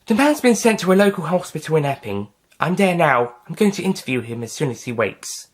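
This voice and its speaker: A man's voice: on the high side, big pitch swings, a little fast, voice pushed up. A man voicing an earnest, polite female space agency agent in an audio science fiction story. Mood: earnest, reporting.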